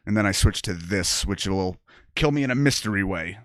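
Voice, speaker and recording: A young man from New with a scratchy, rough voice, chatting as a comedian guest and telling stories animatedly, jumping high when he quotes people. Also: brisk, no echo, clean studio sound. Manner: animated storyteller, voice jumps high when quoting. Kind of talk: casual podcast chat.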